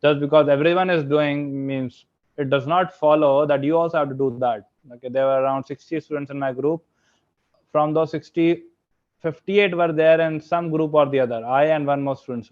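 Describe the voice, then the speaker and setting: Young Indian man, fairly high voice, online lecture, keeps saying "okay" and "means", muffled lo-fi call audio. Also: steady tone, normal speed. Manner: filler-heavy, keeps saying "okay" and "means", asks the audience questions. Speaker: guest speaker, software engineer.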